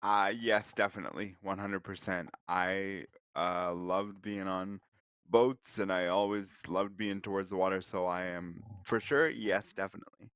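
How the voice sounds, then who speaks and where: Low, smooth-voiced man, dry sound. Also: fairly even intonation, a little slow, a bit bright, no echo. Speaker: talk show co-host. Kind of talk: casual chat.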